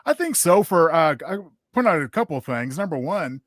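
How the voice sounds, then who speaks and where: A man's voice: on the high side, sing-song, a little fast, no echo, clean studio sound. A man co-hosting, talking in casual discussion. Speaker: co-host.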